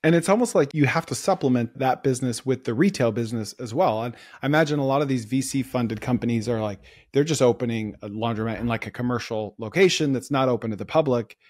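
A man of ordinary pitch hosting a business talk show. He asks quick, probing questions and thinks out loud, voicing his own opinions as the chat goes on. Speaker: podcast host. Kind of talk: casual interview chat.

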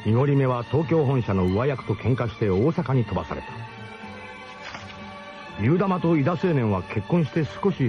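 A man's voice: medium pitch, muffled recording, lightly acted. An actor narrating a radio drama as a wry, nostalgic adventurer looking back on his gang. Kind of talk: scripted first-person radio drama narration.

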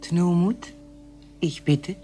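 An actress playing a calm, fearless female alien, composed and terse, in a sci-fi radio play. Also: on the low side, extremely slow, faint music behind.

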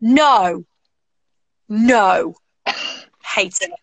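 A young English woman chatting casually on a video call, animated and gushing, full of "like", from a small room at home. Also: mid-range voice, extremely slow, dry recording. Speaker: co-host. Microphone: laptop or webcam on a video call.

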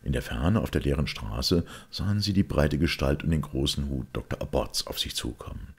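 A man with a gravelly voice reading a detective story as scripted narration. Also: rumbling low, expressive, normal speed. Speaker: audiobook reader.

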